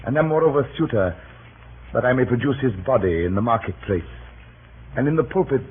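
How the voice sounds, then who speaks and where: An actor playing a grieving, vengeful Roman in solemn, declamatory 1930s radio Shakespeare. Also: male voice, medium pitch, expressive, fairly quick, husky. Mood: measured, earnest. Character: grieving, vengeful Roman friend of the slain ruler. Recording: noisy, narrow-band 1930s radio recording.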